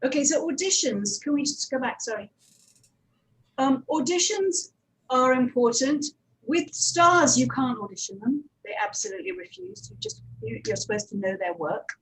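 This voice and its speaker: A woman's voice: sits high, sing-song, moderate pace, fairly bright tone, poor audio. A woman speaking conversationally on a conference panel, thinking aloud with some hesitation as she explains her work. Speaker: panel speaker, audio drama producer.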